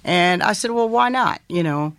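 Deep voiced woman in her 50s, author guest chatting, lively retelling, dry mic. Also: moderate pace, no room sound. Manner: animated, quotes others in lively voices, laughs while telling. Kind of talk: casual storytelling interview.

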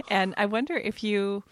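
A woman hosting an interview show, soft-spoken and asking questions. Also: medium pitch, animated, slow. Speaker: show host.

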